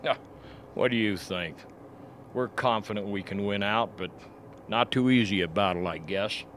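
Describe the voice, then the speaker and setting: A man playing a grave, earnest expedition doctor in a radio play, reasoning in a measured way. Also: fairly low pitch, fairly bright tone, close to an everyday voice. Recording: faint background noise.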